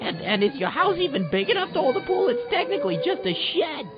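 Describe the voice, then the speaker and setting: A hapless field reporter played by a man swoops up and down nervously and stumbles over words. It is a radio comedy voice, slightly muffled, with music behind. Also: fast talker. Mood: nervous, flustered. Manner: stammering, high, animated.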